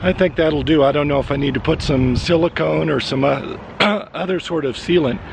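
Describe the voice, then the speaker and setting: A middle-aged man, hoarse and raspy from losing his voice, talks through his work in an unhurried way, thinking aloud with fillers. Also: a little high, noisy background. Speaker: vlogger. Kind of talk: casual vlog narration while working. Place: inside a step van.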